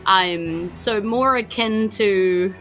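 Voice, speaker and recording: A woman with a New Zealand accent runs a tabletop game, painting the scene as she goes and hunting for words with plenty of fillers. Her voice is bright and forward, at a middle pitch. Faint music sits behind her. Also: extremely slow. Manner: descriptive, searching for words with many fillers. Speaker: game master.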